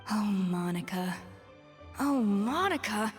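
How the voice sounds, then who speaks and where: A woman's voice: mid-range voice, expressive, slow. A woman playing a proud, exacting young head chef in a video game. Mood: touched. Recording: reverberant, faint music behind.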